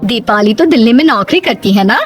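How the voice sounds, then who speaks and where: A woman's voice: fairly high pitch, lively intonation, brisk, over music, close to an everyday voice. A woman voicing a chatty, inquisitive middle-aged neighbour with a coaxing, questioning manner in a cartoon story. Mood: questioning, reassuring.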